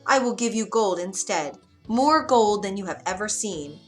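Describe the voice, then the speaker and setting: A woman's voice: medium pitch, animated, moderate pace, soft background music, close to an everyday voice. A woman voicing an anxious but resolute young woman in a storybook, with earnest, emotional delivery. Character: anxious, pleading young miller's daughter, later a resolute queen.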